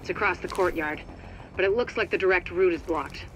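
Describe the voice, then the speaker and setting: Brisk actress as a female mission coordinator, noisy backdrop. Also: lowish voice, lightly acted. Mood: businesslike. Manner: businesslike, direct. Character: brisk, determined female agent giving mission orders. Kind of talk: video game radio briefing.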